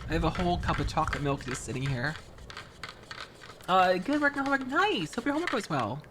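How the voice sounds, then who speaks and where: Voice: mid-range voice, sing-song, brisk, fairly bright tone, slight background noise. A streamer chatting casually with viewers while building a model kit, rambling from one thought to the next and greeting people by name. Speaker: VTuber streamer.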